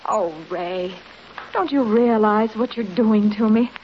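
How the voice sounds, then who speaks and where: An actress playing a spirited young heiress with a brisk, sardonic manner that turns pleading, in a 1930s radio play. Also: female voice, medium pitch, lightly acted. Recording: muffled old radio recording with clear hiss.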